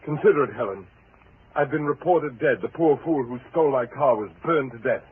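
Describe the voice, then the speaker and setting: An actor playing a bitter, obsessed, menacing husband in a theatrical, mock-polite style for a 1940s radio thriller. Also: male voice, medium pitch, lively intonation, fairly quick, lightly acted. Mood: cool, calculating. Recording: tinny, hissy 1940s radio recording.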